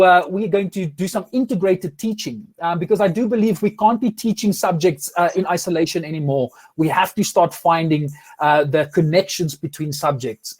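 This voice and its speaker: Afrikaans-accented male host in his thirties, high-pitched, lively, restarts often, video call sound. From South Africa. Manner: energetic, many restarts and fillers. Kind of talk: casual interview over video call. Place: home room with curtains.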